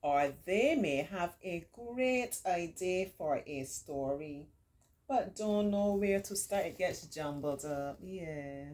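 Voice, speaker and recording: Slow, low-pitched woman reading to children. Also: a little mellow. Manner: encouraging, explains words simply. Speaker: children's storyteller reading a picture book aloud. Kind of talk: read-aloud story time with chatty asides to children.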